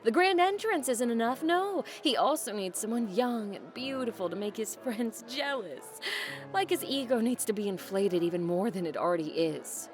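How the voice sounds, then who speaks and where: A woman acts out a resentful, teasing heiress in an audio drama. Her sarcasm is dry, her voice fairly high and very lively in pitch, over soft music. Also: normal speed, natural voice. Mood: bitter, mocking. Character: sardonic, resentful adult daughter of a tycoon. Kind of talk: naturalistic audio drama acting.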